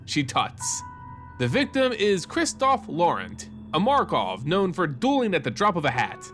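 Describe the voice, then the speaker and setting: A man's voice: on the high side, huge pitch swings, a little slow, a bit bright, faint music behind. A man reading a fantasy story aloud as narrator, in a dramatic scripted style.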